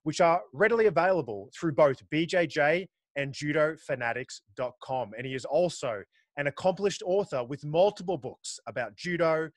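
A man's voice: fairly high pitch, no room sound. A man in his thirties hosting a podcast interview, asking questions in an upbeat way. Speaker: podcast host. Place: home studio. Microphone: studio mic with pop filter. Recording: dry close studio mic.

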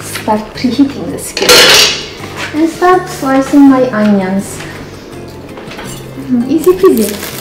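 Slow-paced woman cooking in echoey kitchen. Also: medium pitch. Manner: unhurried, murmuring to self between instructions. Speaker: cooking show host. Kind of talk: casual cooking demonstration, talking while working. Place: home kitchen, indoors. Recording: roomy echoey kitchen, music behind. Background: music, clatter of dishes and pans.

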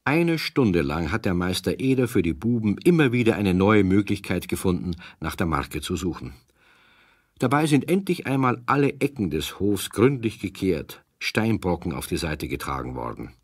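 A low-voiced storyteller narrates a children's radio play with wry humour. His tone is husky, in a very dry recording. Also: animated, normal speed, no room sound.

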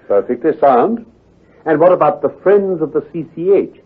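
An actor playing a brisk, confident, teasing gentleman detective with a British accent, precise and patronizing, in an old-time radio drama. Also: a little high, lively intonation, slightly rough. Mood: inquisitive. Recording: muffled, lo-fi vintage radio recording.

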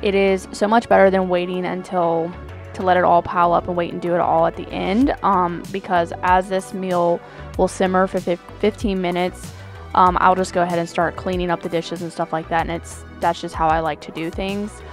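A woman in her thirties giving a relaxed, chatty voice-over with many fillers. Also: on the low side, normal speed. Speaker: homemaking channel host. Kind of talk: casual voice-over narration. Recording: voice-over with faint music underneath.

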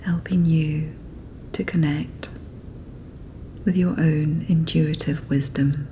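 A woman narrating a guided sleep meditation in a soft, breathy, whispery, soothing manner. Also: low voice, steady tone, takes it slowly, slight background noise, low-quality recording.

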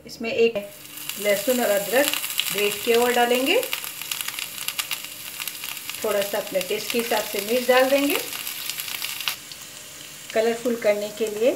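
A woman narrates her recipe step by step in a calm, instructive way, mixing English cooking terms into Hindi. Her voice sits slightly high. The recording carries a roomy kitchen echo and faint background noise. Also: measured pace, pauses often. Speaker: cooking channel host.

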